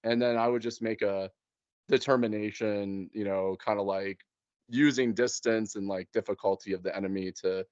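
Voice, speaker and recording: A man's voice: fairly low pitch, steady tone, normal speed, a little mellow, smooth, no echo, lo-fi sound. A man in a casual chat, explaining his plans as a game master in a hesitant, conversational way. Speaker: game master planning a tabletop campaign.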